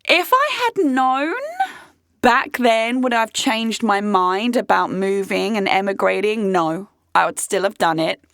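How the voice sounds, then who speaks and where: A woman in her late thirties hosting her own podcast, talking candidly and emphatically in a rambling way, her voice hoarse. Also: medium pitch, expressive, measured pace, a bit bright, no echo, clean studio sound. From United Kingdom. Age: late 30s. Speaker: podcast host. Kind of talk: casual monologue. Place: podcast studio.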